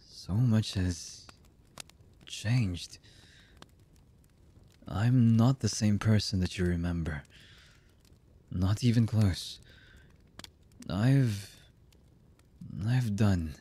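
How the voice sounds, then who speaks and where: An actor playing a brooding, distrustful young anime villain in a boyfriend ASMR roleplay, with a husky, breathy voice and halting, hushed delivery. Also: male voice, sits low, heavily acted. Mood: bitter, remorseful. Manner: slow, halting, hushed delivery with long pauses.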